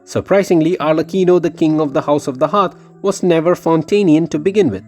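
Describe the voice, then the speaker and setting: A man's voice: on the high side, brisk, a little mellow, faint music behind. A man reading a scripted game lore theory voice-over with dramatic, emphatic phrasing. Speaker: video game lore YouTuber.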